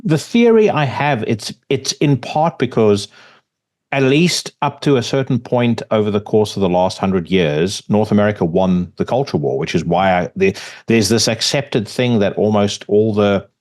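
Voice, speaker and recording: A man hosts a podcast, his voice on the low side. He talks in a relaxed, casual way, swearing now and then and repeating words as he gets going. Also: normal speed. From South Africa. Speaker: podcast host. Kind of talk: casual conversation.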